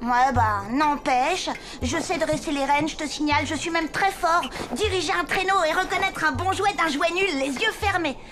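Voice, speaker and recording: A woman's voice: sits high, normal speed, few pauses, lightly acted. A woman voicing a small, cheeky, boastful boy elf who sounds cocky and insistent, in a French cartoon dub. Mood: indignant. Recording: music behind the voice.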